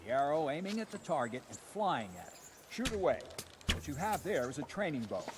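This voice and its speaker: An actor playing a gruff, seasoned guard captain in a medieval video game, instructing in a brisk, commanding way. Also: male voice, a little high, big pitch swings, background noise. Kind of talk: video game drama acting.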